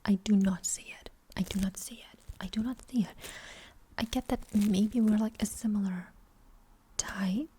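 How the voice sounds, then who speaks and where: Soft whispering young German woman. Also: mid-range voice, moderate pace, a little hiss or hum, low-quality recording. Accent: light German. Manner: rambling, hesitant, many fillers. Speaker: ASMR creator. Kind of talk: whispered ASMR Q&A chat.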